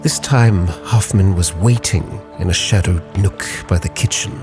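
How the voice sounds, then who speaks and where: A man narrating a sea captain's log in character, with a measured, solemn, dramatic delivery. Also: deep voice. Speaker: storyteller, roleplay channel host. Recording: music bed behind the voice. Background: music.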